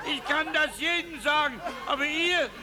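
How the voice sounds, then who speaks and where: Coarse, haranguing high-voiced vagrant. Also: male voice, normal speed. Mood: angry, scornful. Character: crude, defiant vagrant sneering at rich revellers. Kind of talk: 1950s German radio play acting. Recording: 1950s radio recording, loud crowd noise. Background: loud crowd.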